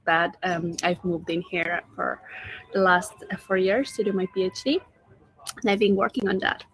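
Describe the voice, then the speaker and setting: A woman's voice: lowish voice, steady tone, normal speed, fairly bright tone, husky, no echo, rough recording. Hesitant young woman from Peru. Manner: thoughtful, hesitant, many fillers. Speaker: guest, PhD researcher. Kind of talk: interview answers.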